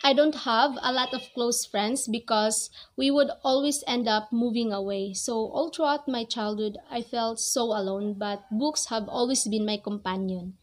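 A woman's voice: a little high, normal speed, hardly any pauses. A young woman in her twenties, not a native English speaker, talking earnestly to the camera about reading in a small room. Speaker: book vlogger. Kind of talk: casual talk to camera. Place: small cozy bedroom with string lights. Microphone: camera at close range.

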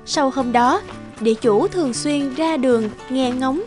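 A woman's voice: high-pitched, measured pace, music in the background. A woman reading scripted fairy-tale narration for a children's story.

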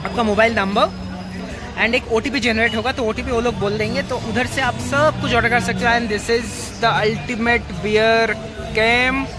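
A man's voice: very high voice, steady tone, unhurried. A man in his thirties vlogging on a handheld phone, mixing Hindi and English as he reads out a menu. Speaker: food vlogger. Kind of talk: casual restaurant vlog. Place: busy brewery restaurant. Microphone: handheld phone camera. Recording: loud music and crowd chatter.

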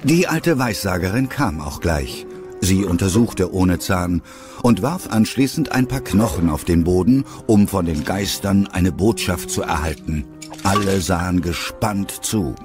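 A man's voice: low voice, animated, unhurried, over music. A man narrating an audio drama from a script.

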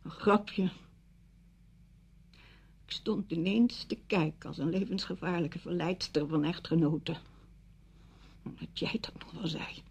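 A woman's voice: on the low side, fairly even intonation, a little slow, vocal fry, pauses often, a little mellow, husky, full character voice. An actress in a radio drama playing a bitter, wounded single woman confronting her friend with intense reproach. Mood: hurt. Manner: reproachful, emotionally intense monologue.

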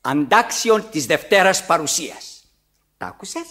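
An actor playing a weary, put-upon servant in a theatrical radio play, terse and sardonic. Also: male voice, fairly high pitch, very animated, bright, forceful tone. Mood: dry.

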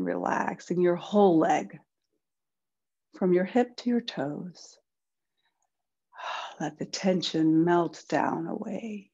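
Slow, breathy woman guiding relaxation. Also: lowish voice, muffled recording. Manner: soothing, gentle, unhurried with pauses. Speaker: guided relaxation instructor. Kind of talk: guided body-scan meditation.

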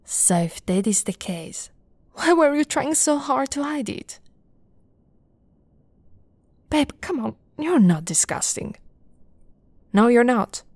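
A young woman playing an affectionate, teasing lamia girlfriend in an ASMR roleplay, soft-spoken and often whispering, with a breathy, coaxing delivery close to the mic. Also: high voice, lively intonation, no room sound, exaggerated voice. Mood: reassuring. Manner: slow, breathy, coaxing delivery with pet names. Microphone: close, dry microphone.

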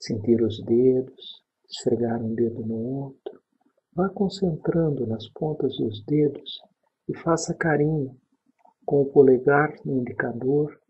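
A Brazilian man leads a relaxation exercise at a very slow, unhurried pace. His voice is husky and breathy, at a medium pitch, with calm gaps between short instructions. The recording is dull and a little muffled. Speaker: guided meditation narrator. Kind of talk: guided sleep meditation, soothing instruction.

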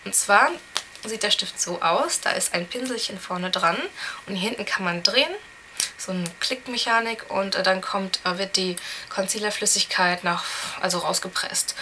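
A young woman in her twenties, a little hoarse from a cold, reviewing products in a chatty, candid way with many fillers, recorded on a camera mic in a small room. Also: mid-range voice, moderate pace, background noise. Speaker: beauty vlogger.

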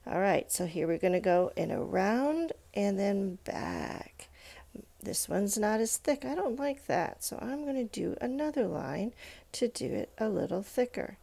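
A woman teaches drawing step by step in an informal way. Her voice has noticeable fry and sits at an ordinary pitch for a woman. She thinks aloud as she demonstrates and encourages the learner along the way. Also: animated, a little slow, warm tone.

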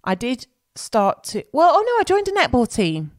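A woman's voice: high-pitched, huge pitch swings, moderate pace. A woman with an English accent co-hosting a podcast, chatting in a rambling way, searching for words while she has a cold. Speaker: podcast co-host. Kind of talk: casual chat.

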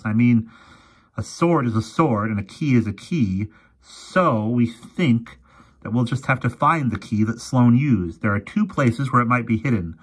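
Grumbling old professor for a storybook reading, man voicing, husky and gruff. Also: medium pitch, pitched above the performer's own voice. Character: gruff elderly male professor, crusty and self-blaming.

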